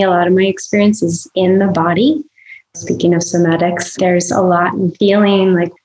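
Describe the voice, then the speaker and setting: A woman's voice: mid-range voice, a little mellow, roomy sound, rough recording. A woman from Michigan, a guest on a podcast interview, speaking in a thoughtful way and searching for words. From Michigan, USA.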